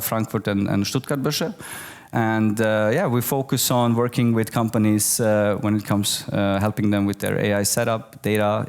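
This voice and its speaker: A man in his forties from Bosnia, giving a keynote talk to an audience, relaxed and full of fillers and restarts. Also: on the low side. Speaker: keynote speaker. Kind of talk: conference talk. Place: office event space with audience.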